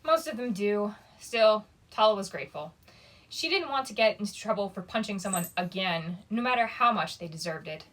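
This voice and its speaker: A woman's voice: medium pitch, expressive, a little fast, fairly bright tone, low-quality recording. Woman reading a fantasy story aloud. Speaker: bookshop story reader. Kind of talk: reading a young adult fantasy novel aloud.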